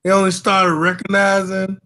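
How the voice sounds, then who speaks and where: A man's voice: high-pitched, monotone, moderate pace, sharp tone. A man hosting a casual chat, talking in a loose, slangy way and repeating himself often. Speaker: host.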